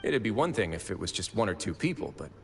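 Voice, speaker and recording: An actor playing a composed, wry duke in an anime video game, speaking in a measured, formal way. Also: male voice, fairly low pitch, very animated, creaky, slight background noise. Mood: patient. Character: composed, wry adult duke in charge of guards. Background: game music.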